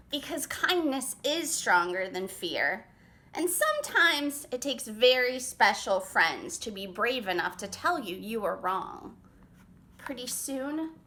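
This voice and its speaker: A woman reading a picture book aloud as storytime narration, expressively and with dramatic pauses. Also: a little high, sharp tone, slight background noise. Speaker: children's author reading aloud.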